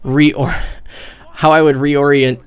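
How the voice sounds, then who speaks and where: A young man streaming casual, rambling commentary on a video game, hesitating as he thinks out loud. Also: a little high, flat delivery, slow, clear, resonant tone. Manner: casual swearing.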